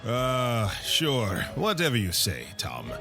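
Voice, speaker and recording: A dismissive young tavern killjoy, played by a man in an audio drama. He drawls very slowly and grudgingly, with prominent music behind him. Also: medium pitch, wildly swooping pitch.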